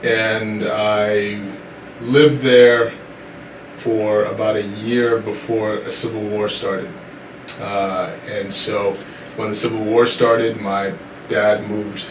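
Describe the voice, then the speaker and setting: A low-pitched man from Sierra Leone tells his story as a podcast guest, relaxed and easygoing. The sound is echoey and he is set back from the mic, with steady background noise. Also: far from the mic. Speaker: guest, company CEO. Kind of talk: casual podcast interview.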